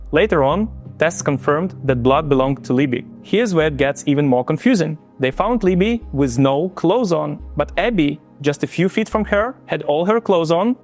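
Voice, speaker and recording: A man from Ukraine with a Ukrainian accent, hosting and narrating a true crime story in a chatty way that speaks straight to viewers. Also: on the high side. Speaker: true crime channel host.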